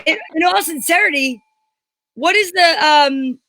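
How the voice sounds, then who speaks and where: A woman's voice: high voice, unhurried, pushed and bright, rough recording. A woman co-host chatting casually, joking and poking fun at herself. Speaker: co-host and performer. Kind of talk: casual chat.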